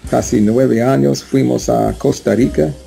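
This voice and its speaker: A man with an American English accent, a Spanish learner, answering interview questions in a halting way with many fillers. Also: medium pitch, steady tone, creaky, mellow, faint music behind. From United States. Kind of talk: casual interview chat.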